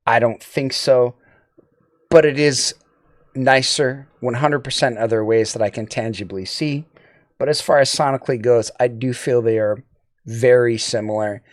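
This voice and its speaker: A man with a medium-pitched voice presents a product review in a semi-scripted way. He speaks as the host of a gear channel. The recording is dry, with little room sound. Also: normal speed, no room sound.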